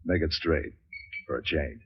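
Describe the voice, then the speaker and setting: A hard-boiled American detective in an old radio noir. His voice is low and husky, his delivery deadpan and sardonic. The sound is dull, like aged broadcast audio. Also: unhurried, full character voice. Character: cynical, wisecracking hard-boiled male private investigator, unflappable. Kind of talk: 1940s radio noir acting and narration. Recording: dull 1940s radio recording.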